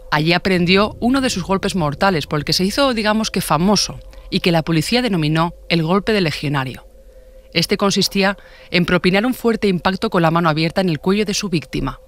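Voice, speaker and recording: A man's voice: fairly high pitch, a bit bright. A man with an accent from Spain, narrating a true crime podcast from a script as its host.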